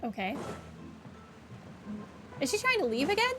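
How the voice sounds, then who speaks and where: A woman with a slightly high voice gives casual commentary on a video game. She reads dialogue aloud as she plays, with game music clearly audible behind her. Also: sing-song, unhurried. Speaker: gamer playing through a story game.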